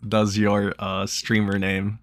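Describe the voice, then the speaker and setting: A man's voice: fairly low pitch, completely flat delivery, slow pace, no room sound, studio quality. A man live streaming a visual novel, reading it aloud and chatting casually.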